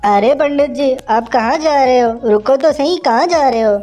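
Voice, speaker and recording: A woman's voice: high voice, normal speed, warm tone, soft background music, voice pushed up, close to an everyday voice. A woman doing an eager little squirrel. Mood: urgent. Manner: eager, calling out. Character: helpful female squirrel guard, caring and lively.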